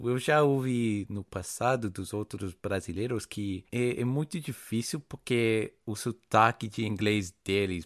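Slow, low-voiced hesitant male host. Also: no echo, studio quality. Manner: slow, hesitant, many restarts and fillers. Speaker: podcast host. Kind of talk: casual interview chat.

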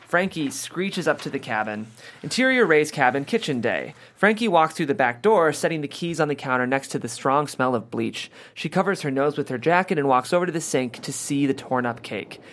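Guy reading stage directions for a screenplay table read, normal mid pitch, scripted narration. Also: a bit bright.

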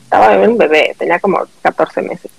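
A woman's voice: fairly low pitch, a little fast, vocal fry, husky, poor audio. A middle-aged Mexican woman, a podcast guest telling a personal story in casual conversation, acting out what people said.

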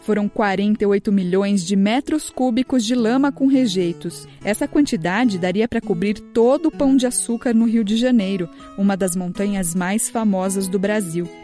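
Mellow-voiced woman narrating over soft music. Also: mid-range voice, normal speed, few pauses. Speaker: children's radio host. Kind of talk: scripted narration.

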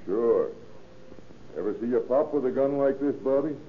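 A man's voice: mid-range voice, moderate pace, close to an everyday voice. A man playing a shrewd American police inspector with clipped, matter-of-fact questions in an old-time radio crime drama. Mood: wry, coaxing. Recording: tinny, noisy old radio recording.

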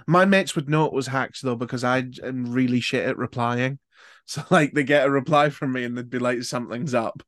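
A man's voice: medium pitch, a little fast, a bit bright, no echo, studio quality. A man hosting a podcast, chatting casually and swearing freely. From Newcastle. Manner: chatty, sweary, reads game stories aloud. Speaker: podcast host.

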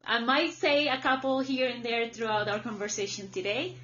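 Chatty Colombian-born woman, higher voice. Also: steady tone, moderate pace, fairly bright tone, highs cut off. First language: Spanish. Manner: chatty, many "like" fillers. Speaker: guest, former volunteer. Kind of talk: casual interview storytelling.

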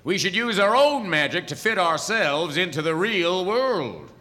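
A man's voice: high-pitched, sing-song, unhurried, pushed and bright, full character voice. A man playing a wry, easygoing old wizard with folksy, hesitant asides in a live audio theatre comedy. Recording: hall reverb of live stage performance.

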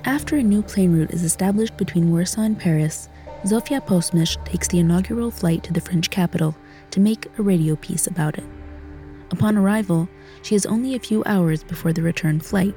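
A woman's voice: lowish voice, a little fast, warm tone. A woman hosting a documentary story podcast, reading scripted narration. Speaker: podcast host. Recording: close studio with faint music.

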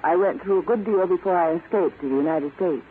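A woman's voice: on the low side, a little fast, lightly acted. A woman playing a brave, earnest and polite young refugee in a 1940s radio drama. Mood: earnest, resolute. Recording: tinny, noisy vintage radio recording.

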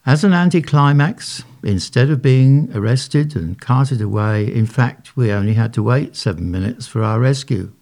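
Wry male author reading his story, low gravelly voice. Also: warm tone. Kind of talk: read-aloud short story narration.

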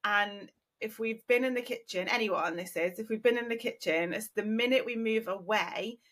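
Bright-toned animated woman in her thirties. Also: a little high, normal speed. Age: 30s. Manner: animated storyteller, laughs easily. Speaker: podcast co-host. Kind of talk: casual chat.

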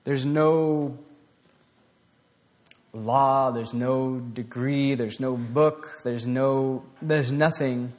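A man giving an informal, conversational sermon to a small group, with a voice turning raspy and plenty of restarts and fillers. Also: medium pitch, fairly even intonation, drawn-out words, a little mellow. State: voice getting raspy. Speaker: preacher. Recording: long hall reverb.

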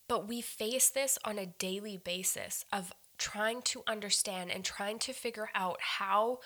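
A woman's voice: medium pitch, pushed and bright. A woman hosting a faith-based podcast, upbeat and earnest, talking to listeners like friends, a bit nasal and stuffed up from a head cold. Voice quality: slightly nasal. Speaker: podcast host.

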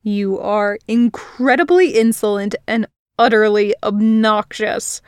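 A woman voicing a surly male forest fae who weaves webs, talking slow, cold and snarling. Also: mid-range voice, clean tone, no room sound, studio quality. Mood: menacing.

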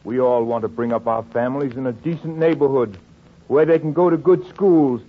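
A man's voice: medium pitch, normal speed, lightly acted. An actor playing an earnest, businesslike chairman of a community meeting in a 1940s radio drama. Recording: noisy, phone-like 1940s radio recording.

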